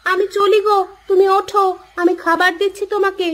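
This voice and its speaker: A woman playing a shrewd, thrifty village wife in a cartoon, speaking in a sweetly coaxing, expressive way. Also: extremely high pitch, brisk. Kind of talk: cartoon story acting.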